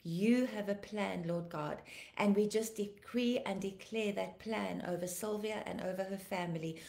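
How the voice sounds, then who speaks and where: A woman in her fifties, a pastor, sounding tired and a little croaky, warmly greeting viewers by name and praying fervently on a home live stream. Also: mid-range voice, normal speed, reverberant. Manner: fervent praying, repeating declarations. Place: living room at home, indoors. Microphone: laptop webcam, video call framing.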